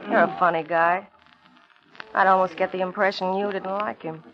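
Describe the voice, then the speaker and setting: A woman's voice: on the low side, steady tone, moderate pace, natural voice. A woman playing a wary, hard-boiled young waitress in a radio suspense drama, clipped and guarded. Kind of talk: 1950s radio suspense acting. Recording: dull old radio recording.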